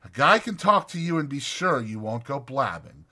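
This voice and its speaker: A man's voice: mid-range voice, moderate pace, voice pushed up. A man voicing a lonely, bitter, needling stable hand in a dramatized audiobook, with folksy, insistent, taunting talk. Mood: excited. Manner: insistent, taunting, folksy, drawn into excited speeches.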